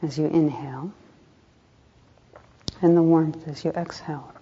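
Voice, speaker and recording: A woman meditation teacher leading a guided meditation in a soft, calm voice, unhurried, with pauses between short phrases. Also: deep voice, slightly rough. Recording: dull, muffled lo-fi recording.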